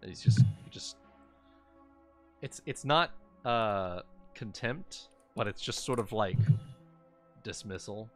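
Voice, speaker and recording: A man improvising narration as game master of a tabletop fantasy game, halting and repeating his phrases. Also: lowish voice, expressive, a bit bright. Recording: background music behind voice. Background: music.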